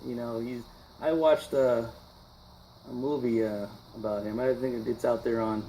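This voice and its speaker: A man in his fifties with a mid-range voice, talking as a musician being interviewed on the radio. He speaks casually and reflectively, halting and restarting phrases as he works toward his point. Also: mid-range voice, steady tone, mellow, a little hiss or hum, poor audio. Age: 50s. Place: radio broadcast session.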